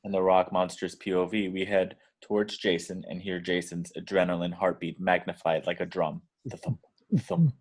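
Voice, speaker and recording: A man's voice: low voice, normal speed. A man reading out the action descriptions as scripted narration in a table read.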